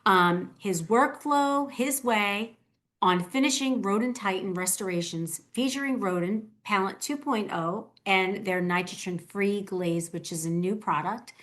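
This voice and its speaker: Woman hosting a webinar, medium pitch. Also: measured pace, fairly bright tone. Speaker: webinar host. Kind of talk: introducing a guest at a webinar.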